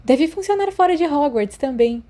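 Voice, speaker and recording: Woman voicing an eager, excitable teenage schoolgirl, higher-pitched. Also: normal speed. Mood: hopeful, excited. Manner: emphatic, eager. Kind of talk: fan fiction audiobook character voice.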